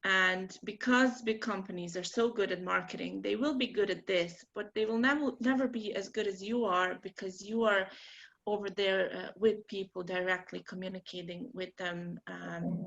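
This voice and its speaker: A woman's voice: medium pitch, fairly even intonation, moderate pace, vocal fry, a bit bright. A woman with a Bosnian accent giving an online marketing workshop, earnest and encouraging with frequent ums. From Bosnia and Herzegovina. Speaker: marketing trainer. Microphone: video call. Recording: lo-fi video call audio.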